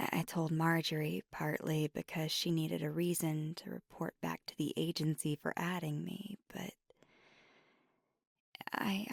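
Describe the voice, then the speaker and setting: Hushed, breathy vampire girlfriend, acted by a woman. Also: lowish voice, no room sound, clean studio sound, full character voice. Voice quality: breathy whisper. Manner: halting, hushed, mostly whispered confession. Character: gentle, remorseful female vampire girlfriend, anxious, tender confessor. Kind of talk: intimate ASMR audio roleplay. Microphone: close, dry microphone.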